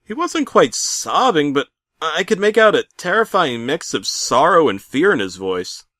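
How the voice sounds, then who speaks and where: A man playing a bitter middle-aged working dad who narrates a comic horror story with theatrical, aggrieved deadpan. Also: on the high side, measured pace, no room sound, studio quality, voice pushed up, exaggerated voice. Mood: alarmed. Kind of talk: comedic creepypasta narration.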